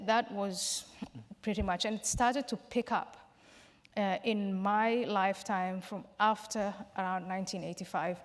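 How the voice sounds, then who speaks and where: A woman from Somalia answers audience questions at a public event, speaking in a measured, explanatory way and sometimes restarting a phrase. Her voice sits in a middle register and carries a long hall reverb. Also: mid-range voice. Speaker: panel guest. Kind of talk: public Q&A discussion. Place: large hall.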